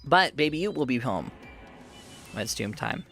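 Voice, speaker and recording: A man's voice: mid-range voice, big pitch swings, moderate pace, bright, forceful tone, soft background music. A man streaming a game live, chatting casually with viewers while his sore throat leaves his voice fading. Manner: chatty, reads and answers viewers.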